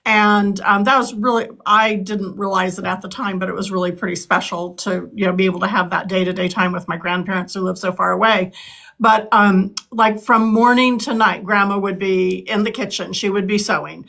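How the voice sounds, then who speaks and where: A woman's voice: mid-range voice, fairly even intonation, moderate pace, vocal fry, fairly bright tone. A woman in her sixties from California, answering interview questions earnestly, with frequent ums and restarts. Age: 60s. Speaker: political candidate.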